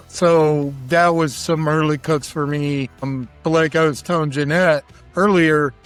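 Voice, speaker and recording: Plain-spoken man with a higher-pitched voice. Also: fairly bright tone. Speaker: interview guest, barbecue cook. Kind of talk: casual conversational explanation.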